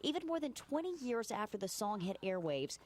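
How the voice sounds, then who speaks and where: A woman's voice: medium pitch, big pitch swings, moderate pace. A woman reporter reading scripted narration for a television news feature. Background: music.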